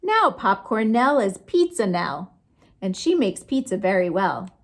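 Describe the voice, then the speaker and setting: A woman storytime host reading picture books aloud to children, expressive and sing-song, with chatty asides to her young listeners. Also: mid-range voice, a little slow. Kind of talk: animated picture-book read-aloud for children.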